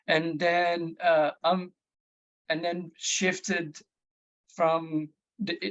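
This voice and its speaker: Man, Moroccan accent, slightly high voice, halting repeated words, informal online talk. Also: rough recording. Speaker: guest speaker, plant geneticist. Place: online video meeting.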